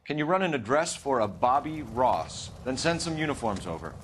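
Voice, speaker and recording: An actor playing a formal, fair-minded homicide detective in a video game, clipped and courteous. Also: male voice, medium pitch, big pitch swings, moderate pace, slight background noise, natural voice. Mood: brisk. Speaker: police detective. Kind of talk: video game cutscene acting.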